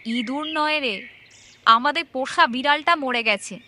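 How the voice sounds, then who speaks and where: Woman acting a high, bright village auntie. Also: a little slow, a little hiss or hum, exaggerated voice. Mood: worried, upset. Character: troubled village auntie in a ghost story.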